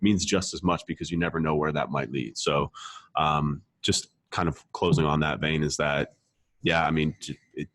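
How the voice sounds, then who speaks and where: Deep-voiced man giving casual, emphatic advice. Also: steady tone, moderate pace, a bit bright, dry recording. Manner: emphatic, repetitive, casual swearing, frequent check-in tags. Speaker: podcast host, marketer.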